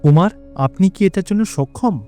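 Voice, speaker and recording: A man plays a stately father god in a devotional cartoon. His voice is mellow and a little high for a man, with a measured, solemn way of instructing his son. Music plays softly behind him. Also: animated. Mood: solemn, instructive.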